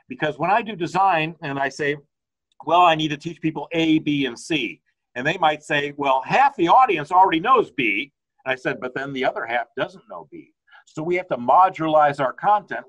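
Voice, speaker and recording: A man's voice: on the high side, fairly bright tone, rough recording. Older American man talking over video call. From United States. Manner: rambling, conversational with frequent restarts. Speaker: consultant. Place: home study with bookshelves. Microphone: video call webcam.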